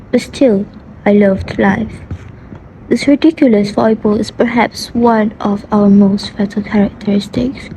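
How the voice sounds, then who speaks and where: A woman acts out a brooding, despairing young woman delivering a dramatic monologue. Her intonation is very flat and her tone slightly dark, with faint noise behind her. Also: medium pitch, close to an everyday voice, rough recording.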